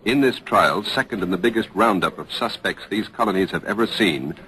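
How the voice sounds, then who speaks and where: Low, forceful male vintage radio reporter. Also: brisk. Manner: formal, crisp, probing. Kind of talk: 1940s radio news reporting, dramatized. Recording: noisy vintage radio broadcast recording.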